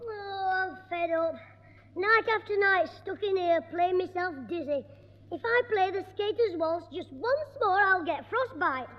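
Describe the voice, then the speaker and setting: A cheeky schoolboy with a piping, very high voice. The delivery is mischievous and full of wisecracks, like a 1960s radio sitcom. It sounds dull and echoey, from an old broadcast. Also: male voice, measured pace. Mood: grumbling.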